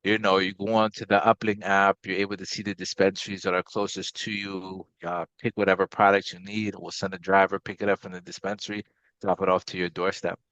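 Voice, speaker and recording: A man's voice: sits low, flat delivery, brisk, a bit bright, dry recording. A man from Guyana who later lived in Maryland, explaining things casually as an interview guest. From Guyana, later Maryland, USA. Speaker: interview guest, company CEO. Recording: slightly dull, lo-fi audio.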